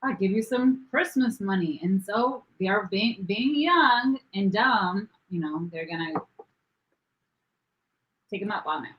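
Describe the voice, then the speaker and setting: A woman co-hosting a casual podcast, talking quickly and laughing easily. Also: mid-range voice, animated, a bit bright, lo-fi sound, slight background noise. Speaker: podcast co-host.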